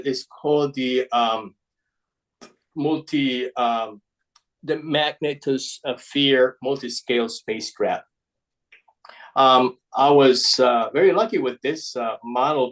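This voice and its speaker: A man from Ecuador speaks English at a slow pace with an Ecuadorian Spanish accent. His voice sits at a middling pitch. He presents informally as a guest, halting and restarting words as he goes. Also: poor audio. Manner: halting, with repeated word restarts. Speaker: guest presenter, paper model designer.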